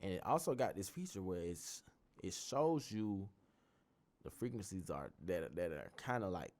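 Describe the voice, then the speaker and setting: Deep-voiced man with a bassy tone. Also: sing-song, normal speed, a little mellow. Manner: loose, rambling explanations with frequent restarts. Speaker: tutorial host. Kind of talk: casual screen-recorded tutorial.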